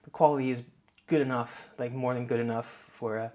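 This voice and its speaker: Relaxed Dutch man chatting to camera. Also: mid-range voice, brisk. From Netherlands. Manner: relaxed, many filler "uh"s, rambling explanations. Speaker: YouTuber. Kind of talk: casual vlog talk to camera. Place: home office, indoors. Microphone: wireless clip-on mic.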